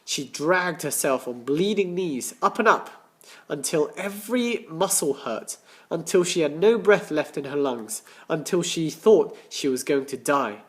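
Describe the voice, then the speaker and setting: A man reading a children's story aloud as a teacher, with deliberate dramatic emphasis and questions to his listeners. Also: a little high, lively intonation. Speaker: primary school teacher.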